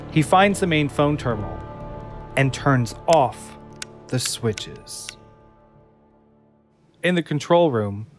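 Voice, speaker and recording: A man reading scripted narration for an audio drama. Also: mid-range voice. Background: music.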